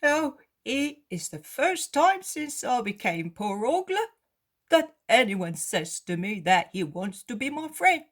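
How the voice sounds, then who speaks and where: A man's voice: extremely high pitch, big pitch swings, takes it slowly, fairly bright tone, voice pushed up, full character voice. A woman voicing a poor, humbled male beggar who pleads and repents. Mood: pleading. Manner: earnest, pleading.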